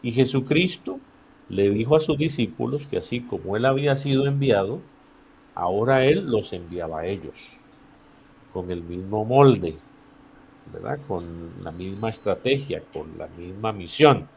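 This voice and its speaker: A man with a Costa Rican accent and a lowish voice speaks as a guest in a podcast conversation. He explains like a teacher, building his points in short phrases and stressing them through repetition. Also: expressive, unhurried, creaky, a little mellow, a little hiss or hum, low-quality recording. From Costa Rica. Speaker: missions director, podcast guest.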